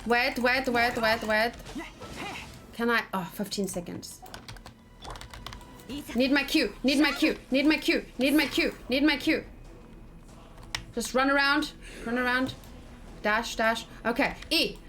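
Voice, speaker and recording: A woman giving casual, excitable let's play commentary, talking to herself and repeating words in quick bursts. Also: on the high side, over music. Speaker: gaming let's play host.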